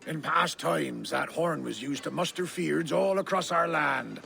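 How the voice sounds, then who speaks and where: An actor playing a bitter, stubborn older reeve of a Saxon village in a game, gruff and gravelly, with archaic formal speech. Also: male voice, a little high, measured pace, bright, forceful tone, a little hiss or hum, exaggerated voice. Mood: firm, resolute.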